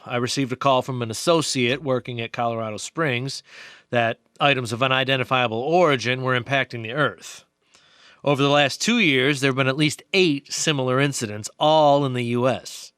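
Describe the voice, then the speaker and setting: A man's voice: mid-range voice, normal speed, pushed and bright, smooth, dry recording, studio quality, lightly acted. A man playing an insistent, knowing research biologist in an audio drama, explaining things emphatically.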